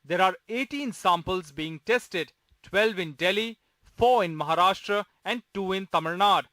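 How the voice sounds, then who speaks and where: A man reads a scripted news report as a reporter. His voice sits high for a man. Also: normal speed, pushed and bright, dry recording.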